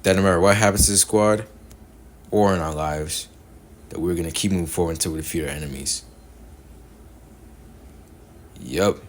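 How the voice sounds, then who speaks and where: A man voicing an earnest, guarded young male devil hunter from another universe, delivering animated-story dialogue. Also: deep voice, fast talker, natural voice. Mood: earnest, reflective. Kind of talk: animated-series character dialogue, recorded in repeated takes.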